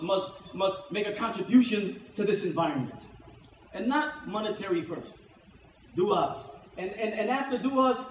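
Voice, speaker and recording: A middle-aged man, an imam giving an emphatic sermon, repeating key phrases for weight. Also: sits high. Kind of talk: sermon, Friday khutbah. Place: mosque prayer hall, indoors at a lectern. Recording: echoey hall from far off, faint noise.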